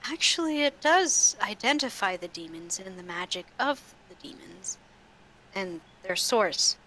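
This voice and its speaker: A woman's voice: mid-range voice, lively intonation, a little slow, bright, forceful tone, low-quality recording. A woman acting as game master, narrating and improvising lines in a tabletop roleplaying game.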